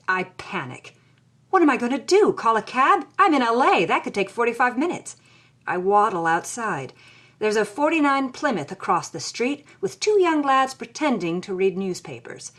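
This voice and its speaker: A woman's voice: a little high, sing-song, normal speed, a bit bright. Young woman, hard-boiled, wry, anguished, first-person storytelling, audio drama. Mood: dramatic, wry.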